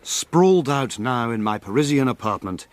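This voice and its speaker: Actor as an animated, urbane gentleman narrator. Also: mid-range voice, no echo, close to an everyday voice. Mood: reflective. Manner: measured, literary. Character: urbane gentleman narrator recalling his friend's cases. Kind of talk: dramatized story narration.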